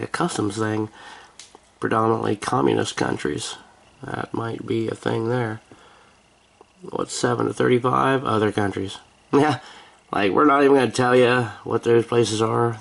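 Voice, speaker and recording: A man's voice: on the low side, gravelly. An American man vlogging in a rambling, casual chat, with a wry, joking, sarcastic manner. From United States.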